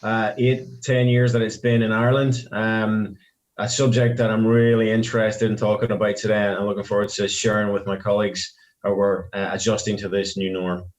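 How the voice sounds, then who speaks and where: A man who is a long-time company employee, speaking in a relaxed, laid-back way in an interview. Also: on the low side, brisk. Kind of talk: casual interview talk.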